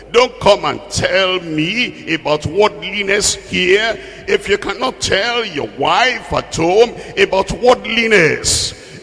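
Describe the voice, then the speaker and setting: A male preacher delivers an exhorting sermon in a high-pitched voice with plenty of creak. He takes his time, very slowly driving points home through repeated phrases. The sound carries the long reverb of a hall. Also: a bit bright. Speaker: preacher teaching from the Bible.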